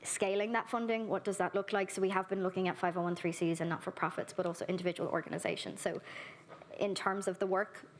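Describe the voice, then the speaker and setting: A woman's voice: mid-range voice, little pitch movement, slight background noise. A woman speaking earnestly at a conference, giving a keynote and then answering audience questions on a handheld microphone. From Ireland. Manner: earnest, warmly direct, brisk with lists. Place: conference hall.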